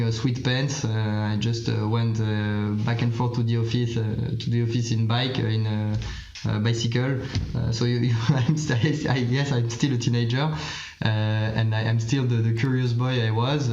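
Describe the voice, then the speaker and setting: A man's voice: medium pitch, little pitch movement, moderate pace, a little mellow, echoey room, muffled recording. A man in his late twenties from France with a French accent, answering interview questions in English with many fillers and restarts. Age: 20s. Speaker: startup founder and guest.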